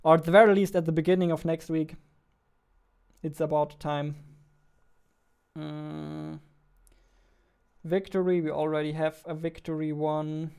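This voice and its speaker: Young man with a creaky, even voice. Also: fairly high pitch. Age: 20s. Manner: halting while typing, reads text aloud slowly. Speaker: indie game developer. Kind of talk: casual narrated coding livestream or devlog. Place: indoors, at a computer desk. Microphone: studio mic on boom arm, close.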